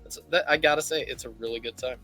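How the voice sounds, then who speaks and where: A man's voice: medium pitch, fast, bright, forceful tone. A man giving a casual, chatty live tutorial as a stream host, explaining things in an easygoing, informal way. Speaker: host of a livestream painting tutorial. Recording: music playing behind the voice. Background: music.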